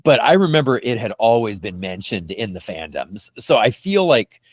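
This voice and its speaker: Animated low-voiced man hosting a podcast. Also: normal speed, no room sound. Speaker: podcast host. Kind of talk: fan podcast discussion.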